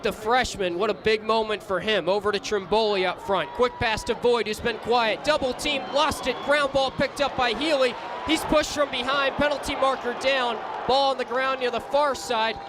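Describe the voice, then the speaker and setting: A young man doing live play-by-play lacrosse commentary for radio, excitable and ready to shout on big plays. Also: high-pitched. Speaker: play-by-play announcer. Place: sports stadium during a live game. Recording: loud crowd noise behind commentary. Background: crowd and arena noise.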